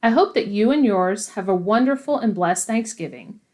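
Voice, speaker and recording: Female podcast host, medium pitch, scripted commentary spoken to listeners. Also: expressive, moderate pace, darkish tone.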